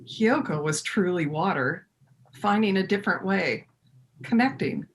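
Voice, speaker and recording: A woman reading as the reflective first-person narrator of a readers theater piece, in measured short phrases. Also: fairly low pitch, lively intonation, slight background noise, rough recording.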